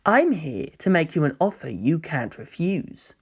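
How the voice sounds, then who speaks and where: A man voicing a sly young angel-hybrid villain in a story, gentle, coy and theatrically musing. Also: a little high, big pitch swings, a little slow, slightly rough, exaggerated voice. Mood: gently mocking.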